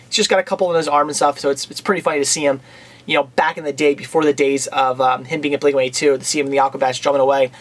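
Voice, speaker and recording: Fast-talking chatty young man vlogger. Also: medium pitch, steady tone, a bit bright. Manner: fast, chatty, enthusiastic, lots of fillers. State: losing his voice, getting a cold. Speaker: video blogger reviewing purchases. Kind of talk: casual vlog chat.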